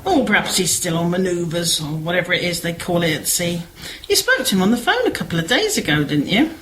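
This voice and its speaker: A woman's voice: on the low side, sing-song, a little fast, fairly bright tone, slight background noise, low-quality recording. A woman acting a chatty, concerned village sister in an amateur radio play, gossipy and persuasive. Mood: light, cheerful.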